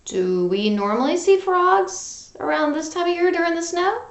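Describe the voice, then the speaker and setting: A woman with a high voice speaks as a storytelling teacher to young children. She is conversational and very lively, moving up and down in pitch. The room adds a clear echo. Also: normal speed. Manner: animated, conversational, addressing children directly. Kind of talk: children's read-aloud lesson, talking to young pupils. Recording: roomy, echoing home recording.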